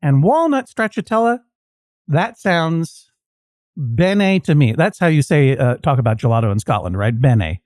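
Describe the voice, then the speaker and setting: A man's voice: on the high side, lively intonation, a little slow, darkish tone, dry recording. Male podcast host, wry and jokey, asks meandering questions, dry studio sound. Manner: wry, joking, rambling asides. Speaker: podcast host acting as judge. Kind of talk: playful comedic questioning.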